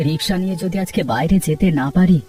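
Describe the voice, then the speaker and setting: A man's voice: high-pitched, fast, distant mic, music in the background, lightly acted. A man voicing a poor, caring, worried village rickshaw puller in a cartoon. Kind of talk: Bengali cartoon story dialogue.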